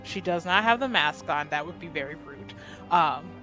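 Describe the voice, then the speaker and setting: A woman's voice: low voice, animated, normal speed, over music. A woman playing a tabletop roleplaying game, casually improvising narration about her character.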